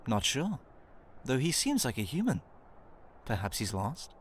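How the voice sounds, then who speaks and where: A man's voice: medium pitch, wildly swooping pitch, unhurried, heavily acted. A man voicing a composed, inquisitive god in an anime fan dub, musing and questioning, on a noisy track. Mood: puzzled. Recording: clearly noisy track with room ambience.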